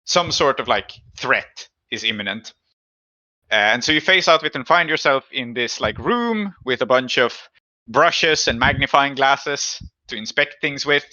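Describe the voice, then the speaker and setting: A man's voice: mid-range voice, animated, unhurried, sharp tone, dull audio. A man working as game master, narrating an improvised tabletop game and feeling his way through descriptions aloud.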